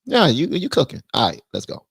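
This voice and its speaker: A man's voice: fairly high pitch, a little fast, vocal fry, breathy edge, no room sound, studio quality. A man hosting a livestream of political commentary, talking bluntly with slang and slipping into mock voices. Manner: animated, drops into mock voices. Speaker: livestream host.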